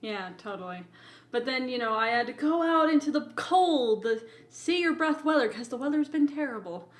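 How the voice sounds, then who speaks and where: A woman with a high-pitched voice chats as a podcast co-host. She tells stories casually, in a playful, teasing manner, dropping "like" into her sentences. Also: animated, moderate pace. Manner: playful, teasing, often says "like". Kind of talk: casual chat, animated storytelling.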